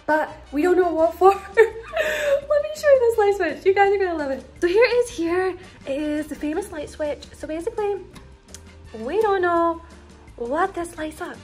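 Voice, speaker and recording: A woman's voice: very high voice, expressive, moderate pace, a little mellow, soft background music. A young woman in her twenties giving a casual, giggly house tour to her viewers, chatting into a phone held at arm's length in a bedroom. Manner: giggly, bubbly, lots of "like" and "you guys".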